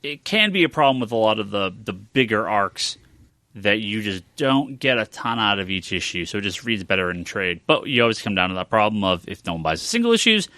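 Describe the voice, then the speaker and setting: A man hosting a casual podcast chat, joking and swearing freely in an animated way. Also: on the low side, fairly quick, no room sound. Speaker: podcast host.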